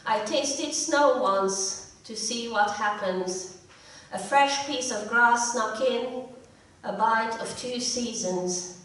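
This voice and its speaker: Lady poet, kinda high voice bit croaky, reading measured at a live thing, super echoey room. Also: fairly bright tone. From Hungary. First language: Hungarian. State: slightly croaky from illness. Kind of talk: live poetry reading with short spoken introductions. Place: indoor live event room. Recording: echoey room, distant mic.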